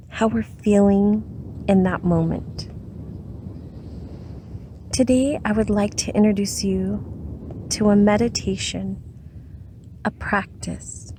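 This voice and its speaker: A woman leading a guided meditation in a soothing, unhurried manner with long pauses. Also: mid-range voice, dark tone, slight background noise.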